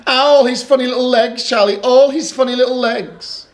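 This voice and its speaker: A man voicing an excitable, insistent little girl in a storybook reading. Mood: eager. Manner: high, eager, pleading, quick.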